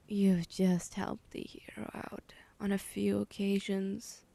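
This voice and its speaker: A woman's voice: mid-range voice, vocal fry, dark tone. A woman playing a teasing, menacing female villain in an ASMR roleplay, whispering breathily with a taunting, condescending manner. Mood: curious, mocking.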